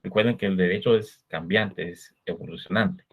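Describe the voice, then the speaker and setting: Low-voiced Guatemalan man lecturing on law. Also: a bit bright. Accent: Guatemalan Spanish. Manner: measured, explanatory, poses rhetorical questions. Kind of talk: recorded lecture. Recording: dull lo-fi recording.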